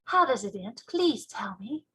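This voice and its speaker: Young girl for a storybook woman performer: curious, well-mannered, eager, bright and lightly high in pitch. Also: very animated, measured pace, husky, full character voice. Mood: eager, polite.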